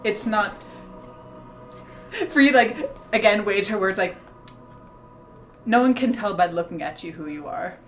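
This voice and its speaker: A woman's voice: medium pitch, unhurried, long pauses, a bit bright. A woman playing a tabletop game, chatting casually and saying "like" a lot. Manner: chatty, peppered with "like". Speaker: player. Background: music.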